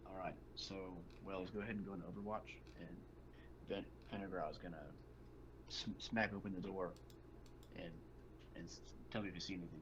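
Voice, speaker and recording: A man's voice: sits low, steady tone, brisk. A man playing a squad leader in a tabletop game, giving tactical orders to the team. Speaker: player acting as squad leader. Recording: muffled, noisy microphone.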